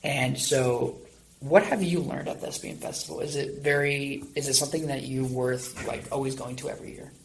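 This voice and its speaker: Gravelly-voiced man hosting a podcast. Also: medium pitch, fairly even intonation, moderate pace, rough recording. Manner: rambling, long-winded questions. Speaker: podcast host. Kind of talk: conversational student podcast interview.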